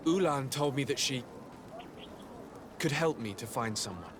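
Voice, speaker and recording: Earnest male game adventurer, played by a man, courteous, medium pitch, faint noise behind. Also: expressive, measured pace, close to an everyday voice. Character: polite, earnest male adventurer seeking family. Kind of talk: video game cutscene acting.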